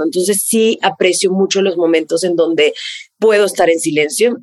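A young woman from Venezuela whose accent has picked up Mexican features, answering interview questions in a fast, animated way and repeating words for emphasis. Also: lowish voice, dry recording. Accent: Venezuelan with Mexican influence. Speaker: content creator, guest.

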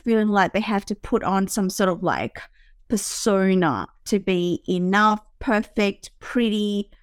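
A woman's voice: medium pitch, clean tone, no echo, studio quality. A woman from Vietnam, hosting a podcast, talking reflectively about her own life in a searching way with fillers and restarts. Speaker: podcast host. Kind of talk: reflective personal talk.

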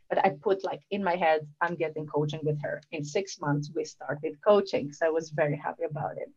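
A woman with a Southern European accent speaks in a somewhat low voice as a coach being interviewed. Her delivery is conversational and explanatory, with questions she answers herself. The recording is a little muffled and lo-fi. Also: a little mellow. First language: not English. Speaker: guest, leadership coach.

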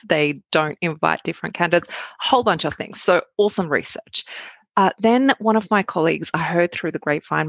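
A woman's voice: low voice, creaky, no echo. A woman in her forties, a researcher answering interview questions in a candid, storytelling way. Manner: candid, animated storytelling with asides. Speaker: guest, cybersecurity researcher.

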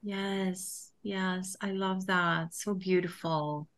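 A woman hosting a business interview, enthusiastic and affirming, often reacting with warm praise to her guest. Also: on the low side, fairly even intonation, extremely slow. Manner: enthusiastic, affirming, often says she loves it. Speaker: podcast host. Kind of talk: conversational interview.

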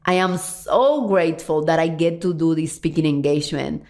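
A middle-aged woman from Cuba with a Cuban Spanish accent, a native Spanish speaker, hosting a solo podcast as a confidence coach, earnest and animated, slowing down to stress points and hurrying through asides. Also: low-pitched. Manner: earnest, animated, slows for emphasis and speeds up in asides.

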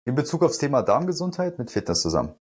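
A man's voice: a little high, big pitch swings, fast talker, darkish tone, breathy edge. A man talking as a health and nutrition coach in a podcast conversation, explaining earnestly and with enthusiasm.

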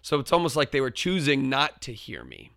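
A man's voice: medium pitch, huge pitch swings, fairly quick, vocal fry, no echo, studio quality. A man who is a teacher and podcast host, telling a classroom story in an earnest, reflective way and often restarting his phrases. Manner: builds up to loud, forceful quoted shouts in stories.

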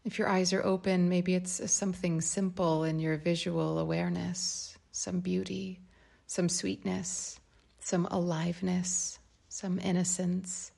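A woman guides a meditation in a low, mellow voice with a soft creak. She speaks gently and calmly, leaving space between phrases, her breathy tone often thinning toward a whisper. The melody stays very even throughout. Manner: calm, unhurried, gentle phrasing with long pauses.

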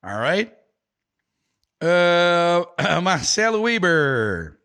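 Animated Brazilian man teaching. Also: high-pitched, very slow. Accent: Brazilian Portuguese. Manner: animated, playful, explaining with imitations. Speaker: English teacher. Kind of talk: live online lesson, reading viewer comments.

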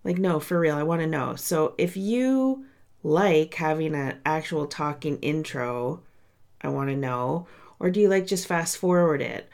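A young woman hosts her podcast with a somewhat low, nasal voice, stuffed up from a sinus cold. She talks in a chatty, candid way, addressing her listeners directly as if in casual conversation. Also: a little mellow. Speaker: podcast host and coach. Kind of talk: casual solo podcast monologue.

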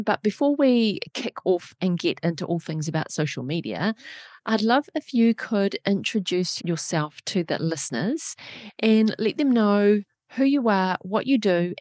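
A woman's voice: mid-range voice, expressive, normal speed, darkish tone, dry recording, studio quality. A woman hosting a podcast, welcoming her guest and asking friendly, encouraging questions. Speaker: podcast host. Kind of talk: podcast interview.

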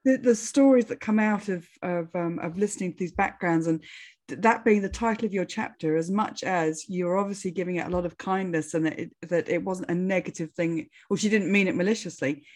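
A woman's voice: fairly low pitch, fairly quick, a little mellow. A woman hosting a podcast, reading a scripted intro and then interviewing a guest with enthusiasm and encouragement. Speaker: podcast host.

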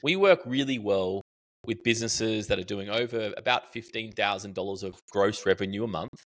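A man's voice: on the low side, moderate pace, fairly bright tone. A middle-aged Australian man, a podcast guest, talking casually and animatedly, telling stories with restarts and fillers. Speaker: podcast guest, marketing educator. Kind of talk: casual interview chat.

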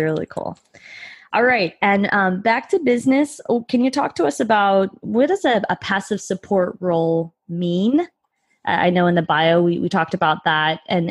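A woman's voice: on the low side, no echo, clean studio sound. A woman hosting a podcast interview, upbeat and encouraging with her guests. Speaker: podcast host.

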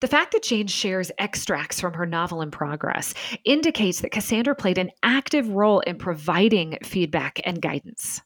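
A woman presents as a podcast host, moving through a mid-range pitch with lots of melodic variation. The sound is dry and close, with very little room. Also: no room sound. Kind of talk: scripted narration and interview talk.

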